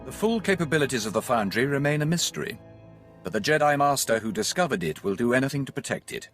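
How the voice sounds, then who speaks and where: An actor playing a dutiful, proud Imperial fleet commander in a video game, speaking in a crisp, formal military manner. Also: male voice, medium pitch, animated, fast, fairly bright tone, soft background music, close to an everyday voice. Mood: briefing. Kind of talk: dramatic video game acting.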